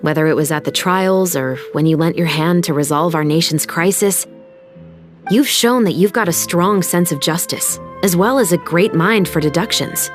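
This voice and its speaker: A woman plays a young female musketeer officer in a game, with a lowish voice and a measured, formal delivery. She sounds composed and earnest, with music playing behind her. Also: natural voice. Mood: composed, assured. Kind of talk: dramatic game voice acting.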